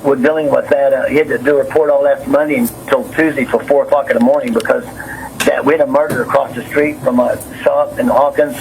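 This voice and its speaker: A man's voice: medium pitch, fairly even intonation, fast, vocal fry, darkish tone. A nervous, agitated man talking on the phone, rambling, repeating himself and stumbling over his words. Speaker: city councilman and business owner on a phone call. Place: on a telephone. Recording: noisy recorded phone call.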